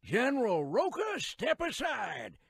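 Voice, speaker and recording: An actor voicing a folksy, excitable old-timer railroad guide in a video game, with a rural American accent, a creaky voice and a colloquial, theatrical manner. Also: male voice, very high voice, slow pace, over music, exaggerated voice. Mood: gleeful.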